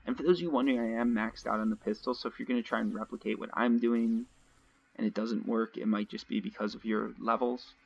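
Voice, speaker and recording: A young man casually commentating his gameplay nonstop, his voice a little raspy from long talking. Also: lowish voice, steady tone, fast. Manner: chatty, rambling, nonstop talk with fillers. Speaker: let's play host. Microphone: home desk mic. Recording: dull lo-fi home mic.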